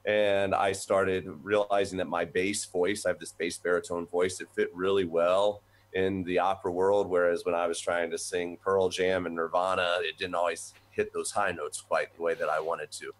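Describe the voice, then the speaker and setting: A man in his forties, a voice professor from the United States, telling his background in a relaxed, rambling way over a video call from a small office. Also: low voice, normal speed, few pauses. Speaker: guest, voice professor. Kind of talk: conversational storytelling. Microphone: webcam on video call.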